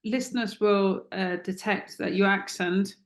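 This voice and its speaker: A woman's voice: mid-range voice, fairly even intonation, a little slow, echoey room. A woman hosting a podcast interview, asking questions with some hesitation and reading a closing outro.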